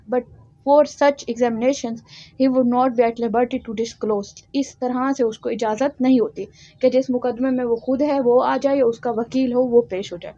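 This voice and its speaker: A woman with a Pakistani accent delivers an instructional lecture at a slow, deliberate pace. Her voice sits fairly high yet has a soft, mellow tone. The recording is dull and lo-fi. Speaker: law lecturer. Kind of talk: instructional lecture alternating explanation and read-out text. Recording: dull lo-fi sound.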